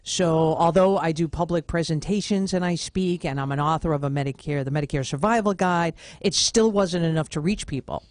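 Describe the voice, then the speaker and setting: A woman's voice: low-pitched, a little fast, hardly any pauses, darkish tone, no echo. A woman in her fifties, a podcast host and author, telling a story with upbeat enthusiasm. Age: 50s. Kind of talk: casual interview chat.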